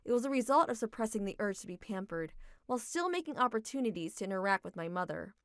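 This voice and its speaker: A woman's voice: medium pitch, quick pace, smooth, no room sound, studio quality. Woman narrating a manga dub story. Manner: steady, even, reflective reading.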